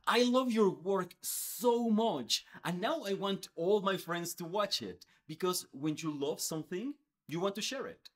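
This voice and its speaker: A man's voice: high-pitched, unhurried. A man in his thirties from Mexico, a Spanish speaker, earnestly explaining to the camera with lots of hand gestures. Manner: earnest, animated, gestures a lot with his hands. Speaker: YouTuber. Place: indoors, in front of a space backdrop.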